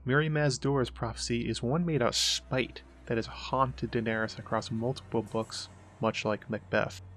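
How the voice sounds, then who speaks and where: A man narrating a scripted analytical essay as the host, in a measured explanatory way. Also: medium pitch, fairly even intonation, fairly bright tone. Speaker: host of a book and mythology analysis show.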